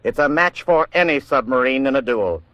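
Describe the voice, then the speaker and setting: A man's voice: medium pitch, expressive, moderate pace, fairly bright tone, no echo, highs cut off. An American man narrating a war drama in a grave, emphatic 1940s radio style.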